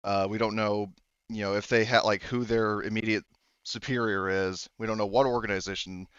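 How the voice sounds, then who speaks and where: Man playing a low-voiced, weary colony sheriff. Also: little pitch movement, fairly quick, a bit bright, clear, resonant tone, no room sound, muffled recording, natural voice. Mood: matter-of-fact. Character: weary colony sheriff, middle-aged man, frank and businesslike.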